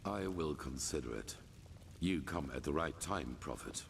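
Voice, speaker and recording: A gravelly, very deep-voiced grandmaster. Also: male voice, lively intonation, a little slow, a little hiss or hum, full character voice. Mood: stern, measured. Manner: formal, solemn, authoritative. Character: grave, commanding older grandmaster of a holy order. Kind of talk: cinematic fantasy game voice acting. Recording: long hall reverb, music behind.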